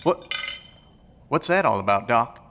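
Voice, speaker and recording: A man's voice: mid-range voice, sing-song, a little slow, big-room sound, background noise. A man playing a plainspoken, practical, good-natured country fellow in an audio drama, with a folksy, informal manner. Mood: curious.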